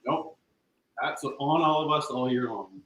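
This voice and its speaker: Jokey self-deprecating male guest, bright voice, roomy call audio. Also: mid-range voice, a little slow. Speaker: guest, crew member. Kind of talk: casual chat.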